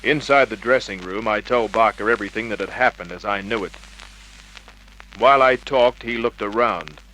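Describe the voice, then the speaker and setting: A man's voice: fairly low pitch, normal speed, sharp tone. A male actor playing a wry private investigator who narrates his case in clipped, matter-of-fact Australian tones, in an old radio detective drama. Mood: terse, grim. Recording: noisy vintage radio drama recording.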